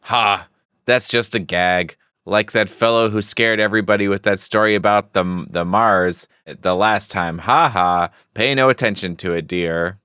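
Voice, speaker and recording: Dismissive husband read by a guy, scoffing, deep smooth voice. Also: pushed and bright, no room sound, studio quality. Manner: slow, scoffing. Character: dismissive husband calming his wife.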